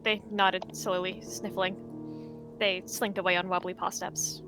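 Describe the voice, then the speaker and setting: A young woman narrating a cat roleplay story in a gentle, reflective way. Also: medium pitch, flat delivery, unhurried, over music, low-quality recording.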